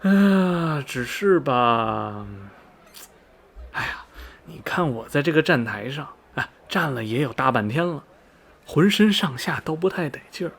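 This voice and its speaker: Gossipy railway old hand for radio drama, played by a man; highish, very animated pitch, folksy rambling storyteller. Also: a little slow, creaky, heavily acted. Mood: wheedling, weary. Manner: folksy, rambling, full of sighs and interjections. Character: chatty, gossipy veteran railway station worker, smoker. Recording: music behind the voice. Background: background music.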